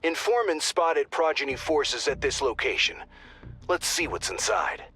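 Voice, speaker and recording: An actor playing a field support officer on comms who talks in a casual, wry way. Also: male voice, on the high side, animated, unhurried, pushed and bright. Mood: informal. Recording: game audio with faint music.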